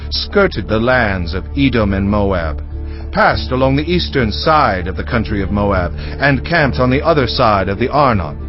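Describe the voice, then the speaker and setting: A man playing a proud warrior commander in a dramatized audio Bible, assertive and emphatic in his rhetoric. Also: on the low side. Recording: dull band-limited audio, faint music underneath.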